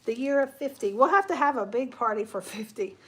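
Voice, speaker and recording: A woman's voice: a little high, animated, a little fast, a bit bright, a little hiss or hum, rough recording. Chatty woman in her forties. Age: 40s. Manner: chatty, talks to viewers and reads comments aloud. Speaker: craft livestream host. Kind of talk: casual live-stream chat while crafting.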